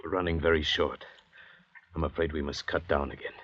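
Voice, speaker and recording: An actor playing a cunning, commanding convict doctor with a stern, calculating manner, in old-time radio drama style. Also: male voice, very deep voice, steady tone, fairly quick, breathy edge. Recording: muffled vintage radio recording.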